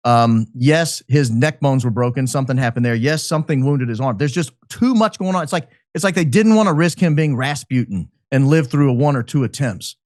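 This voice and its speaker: A man speaking as a podcast guest, giving speculative commentary in an emphatic, deliberate way. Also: medium pitch, a little mellow, no echo, studio quality. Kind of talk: speculative commentary in a talk show.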